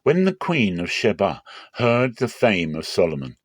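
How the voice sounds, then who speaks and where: A man with a fairly low voice narrates scripture as a dramatic reading. His phrasing is measured, solemn and clear, and the sound is very dry. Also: sing-song, dry recording.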